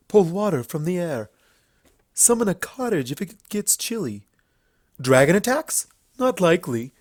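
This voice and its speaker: A man's voice: high voice, darkish tone, no echo, studio quality, pitched above the performer's own voice. A man voicing a proud, playful female alicorn pony princess in a storybook reading, articulate and haughty. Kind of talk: storybook fan fiction character voice.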